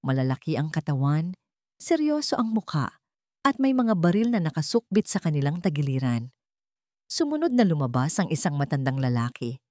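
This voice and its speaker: A woman's voice: low-pitched, very animated, moderate pace, darkish tone, no room sound, studio quality. A woman narrating a scripted dramatic story as a storyteller.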